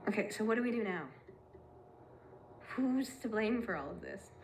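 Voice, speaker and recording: A woman's voice: fairly high pitch, lively intonation, normal speed, slight background noise. An actress playing a doubtful, questioning female colleague in a scripted horror drama. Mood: skeptical.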